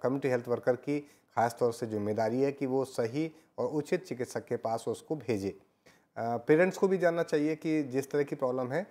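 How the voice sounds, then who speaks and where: Man, warm mellow voice, host, affirming, summarizing. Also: mid-range voice, moderate pace. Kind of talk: educational talk on live program.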